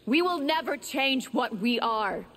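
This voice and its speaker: An actress voicing a proud, defiant immortal vampire in a video game, combative and indignant. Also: female voice, high voice, expressive, unhurried, a bit bright, exaggerated voice. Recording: clear background noise.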